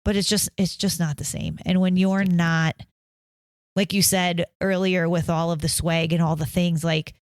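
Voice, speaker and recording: A woman's voice: fairly low pitch, little pitch movement, moderate pace, no room sound, studio quality. A woman telling candid stories in a casual podcast chat as a guest band mom. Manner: chatty, candid storytelling.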